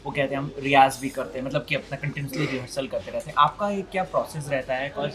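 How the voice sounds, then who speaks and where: A man's voice: medium pitch, fast talker, a crowd in the background. An Indian man conducting a casual interview, putting questions deferentially and often addressing his guest as sir.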